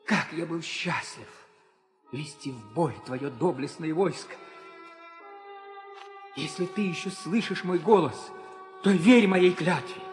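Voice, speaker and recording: Young warrior prince, highish voice, fervent declamation, long reverb, old radio play. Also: male voice, slow, long pauses. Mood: proud, fervent. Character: young warrior prince, noble, devoted, vengeful son. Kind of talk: 1960s Soviet theatrical audio drama acting. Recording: old reverberant studio recording with music.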